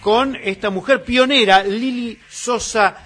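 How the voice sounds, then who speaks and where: A man hosting a live morning radio show, relaxed and chatty, joking with his co-host. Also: extremely high pitch, takes it slowly, slightly rough.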